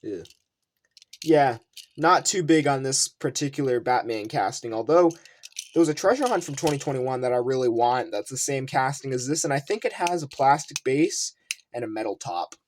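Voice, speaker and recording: Casual unboxing host, medium pitch, rambling, thinking aloud, faint background noise with clicks. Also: animated, normal speed. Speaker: toy car collector and unboxing video host. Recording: noisy room with clicking handling sounds.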